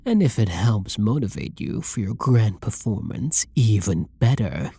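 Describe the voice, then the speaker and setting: A husky, flirtatious villain, as acted by a performer for an intimate ASMR roleplay, dominant and possessive. The delivery is slow and teasing, swooping in pitch and slipping into breathy near-whispers, with a soft, dark tone and gravelly creak. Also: animated, no echo, studio quality. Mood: teasing, seductive. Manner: teasing, drawling, pet-name-laden purr.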